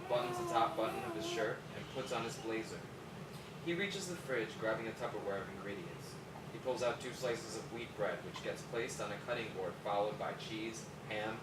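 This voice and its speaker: A man reads screenplay action lines as the narrator. His voice sits a little low. He is off the mic in an echoey room, with loud noise behind him. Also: normal speed, distant mic. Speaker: narrator reading stage directions. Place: indoor room at a table read.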